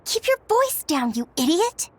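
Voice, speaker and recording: A woman's voice: very high-pitched, sing-song, a little slow, dry recording, exaggerated voice. A woman playing an outspoken, peppy young female soldier in an anime-style video game. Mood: scolding.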